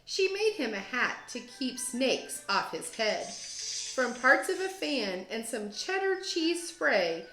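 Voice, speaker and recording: Woman reading a storybook, slightly high, roomy. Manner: expressive, deliberate storytelling phrasing. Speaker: teacher, guest read-aloud host. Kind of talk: children's storybook read-aloud. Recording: roomy echo, music behind. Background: storybook app music.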